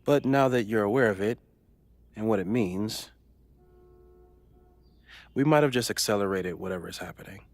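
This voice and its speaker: An actor playing an earnest, analytical and worried friend, gentle and thoughtful, in naturalistic video game dialogue. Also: male voice, lowish voice, moderate pace, gravelly, pauses often, dry recording. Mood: concerned, thoughtful.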